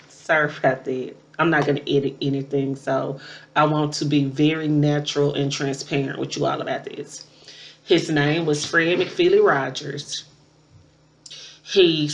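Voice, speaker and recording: A woman aged thirty-nine chatting casually and unscripted to her viewers as a vlogger, with emphatic, conversational phrasing. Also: low voice. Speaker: vlogger reviewing a movie. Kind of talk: casual unscripted chat to camera.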